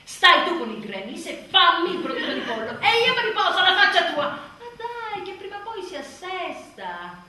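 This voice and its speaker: A woman's voice: very high voice, sing-song, normal speed, bright, forceful tone, exaggerated voice. An actress playing an exhausted, embittered young mother in a theatrical radio monologue, sardonic and volatile. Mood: sarcastic fury. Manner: volatile, sardonic, swearing, swinging from outbursts to murmurs. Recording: roomy echoing stage, distant mic, faint noise.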